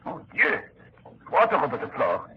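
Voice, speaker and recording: Fretful French jailer, noisy old recording. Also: male voice, on the high side, wildly swooping pitch, normal speed. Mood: fearful, complaining. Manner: fretful, complaining. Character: squeamish, fearful, grumbling French male prison jailer. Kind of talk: 1930s radio acting. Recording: dull, noisy 1930s radio recording.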